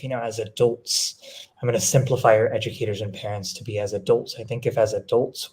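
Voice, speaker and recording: Male education panelist, lowish pitch, thoughtful, measured, pauses mid-thought. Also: darkish tone. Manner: measured, reflective, pausing between phrases.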